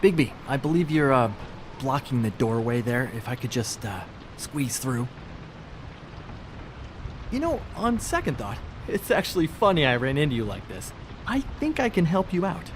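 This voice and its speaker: An actor playing a slippery small-time thief in a video game, talking in glib, ingratiating, hedging patter. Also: male voice, mid-range voice, sing-song, normal speed, slight background noise, natural voice. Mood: sly bargaining.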